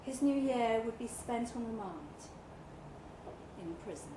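A woman's voice: a little high, lively intonation, a little slow. A woman reading a literary short story expressively at a live event in a pub room. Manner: acts out dialogue in character. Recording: echoey room with clear background noise.

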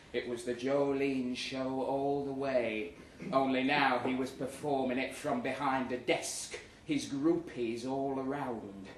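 A man performs a comic short story live in a pub, narrating with sardonic, indignant theatricality. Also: medium pitch. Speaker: story reader. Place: live reading event in a pub. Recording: echoey, noisy pub room, distant mic.

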